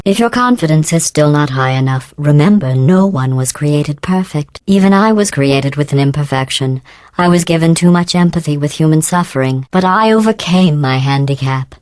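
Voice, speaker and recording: A woman playing a passive-aggressive artificial intelligence in a video game, deadpan and falsely polite, with a synthetic, processed robotic cadence. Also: no echo. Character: passive-aggressive female artificial intelligence running lab tests. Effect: robotic pitch-corrected voice processing. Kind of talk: scripted video game voice acting.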